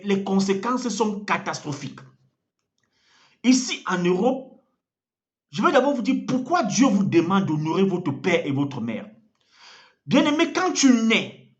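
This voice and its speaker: A man's voice: high voice, lo-fi sound. A man preaching an online sermon as an evangelist, earnest and fervent, repeating phrases for emphasis, French not his first language. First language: African language.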